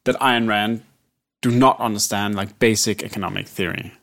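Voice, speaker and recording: A man with a Danish accent co-hosting a podcast, talking in an animated, sarcastic way and swearing freely. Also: on the low side, moderate pace. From Denmark. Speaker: podcast co-host. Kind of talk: casual chat.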